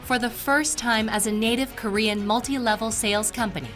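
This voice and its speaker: A woman reading a scripted corporate video narration in a steady, announcing way. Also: on the high side, brisk, over music.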